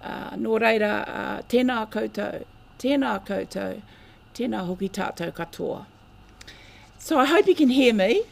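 An older woman with a New Zealand accent and a croaky voice, giving an earnest public talk as a researcher at a lectern mic in a meeting room. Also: fairly high pitch, expressive. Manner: measured, earnest, with long greetings in Māori. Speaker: guest speaker, researcher. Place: community meeting room, indoors.

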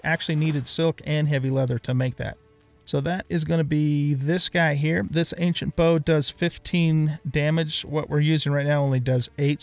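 A middle-aged man giving casual let's play commentary, unhurriedly thinking aloud step by step. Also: on the high side.

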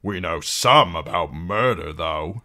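A man voicing a big, rough outlaw in an audiobook, brusque, blunt and full of contempt. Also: deep voice, lively intonation, slow, bright, forceful tone, heavily acted. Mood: grim contempt. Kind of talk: audiobook character acting.

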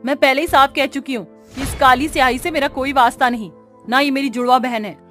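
A woman's voice: high voice, fairly even intonation, brisk, sharp tone, faint music behind. A woman voicing an arrogant, spiteful young twin sister in a cartoon, scornful and haughty.

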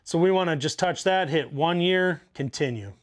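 A man's voice: fairly high pitch, moderate pace. A man in his forties, a plumber, casually talking through an installation step by step in a basement utility room. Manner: practical, walks through steps out loud. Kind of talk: casual how-to demonstration.

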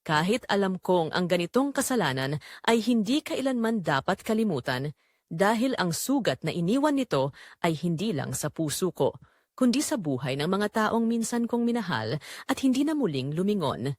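A woman playing a remorseful middle-aged mother-in-law, telling her confession as an emotive, deliberate audio drama. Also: on the low side, very animated, a little mellow, no echo, studio quality. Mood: solemn, remorseful. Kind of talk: dramatic first-person audio drama narration.

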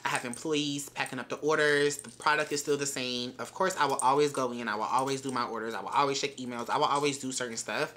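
A woman, chatting to camera in a casual vlog, animated and profane with playful shouts. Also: extremely low pitch, quick pace, hardly any pauses. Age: 20s. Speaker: vlogger. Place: bedroom closet with shelves of bags and shoes, indoors.

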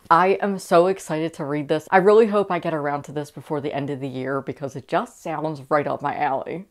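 A young adult woman speaking enthusiastically and casually to camera as a book vlogger. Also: deep voice.

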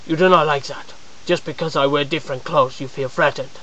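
A performer voicing an indignant adult man who rants straight at the viewer, padding his complaints with "man" and "I mean" and rising into high, shouting exasperation. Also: fairly even intonation, brisk, natural voice, rough recording. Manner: aggrieved rant, frequent "man" and "I mean" fillers. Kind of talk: comedic character monologue to camera.

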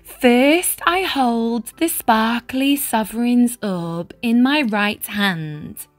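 A woman's voice: a little high, extremely slow, faint music behind. A woman voicing a cheerful, eager storybook king who exclaims in a friendly, grand way. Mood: friendly explaining.

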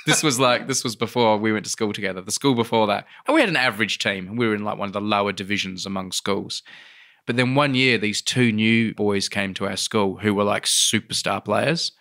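A man's voice: lowish voice, brisk, sharp tone. An Australian man chatting casually as a podcast co-host, an enthusiastic storyteller. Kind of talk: casual anecdotal podcast chat.

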